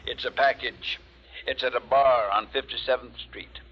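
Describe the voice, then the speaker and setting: An actor playing a dazed, wealthy man who repeats himself haltingly over the telephone in an old radio drama. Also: medium pitch, steady tone, a little hiss or hum. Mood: dazed, insistent. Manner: halting, repetitive, urgent. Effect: telephone filter. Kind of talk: 1940s radio drama acting. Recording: muffled old radio phone voice.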